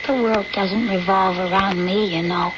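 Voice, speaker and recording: A dull, very noisy old radio recording carries an actress playing a young woman in pain. Her voice is mid-pitched, and she talks in a guarded, questioning way, as a suffering daughter in a vintage radio play. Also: fairly even intonation, measured pace, lightly acted. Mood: questioning, defensive. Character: pained, guarded daughter suffering chronic headaches. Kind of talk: old-time radio drama acting.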